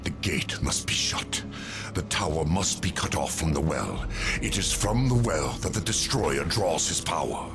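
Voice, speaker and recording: A weary, ancient angel of death in a fantasy game. The voice is extremely low and gravelly, portentous and expressive, with music behind it. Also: male voice, unhurried, heavily acted. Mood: urgent, grave. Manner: solemn, portentous, pleading. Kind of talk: scripted video game fantasy drama.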